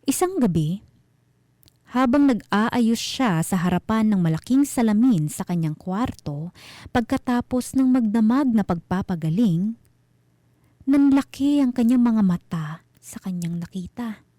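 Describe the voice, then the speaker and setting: A woman narrating a scripted horror story for radio, with deliberate, suspenseful phrasing. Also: fairly high pitch, expressive, warm tone, dry recording.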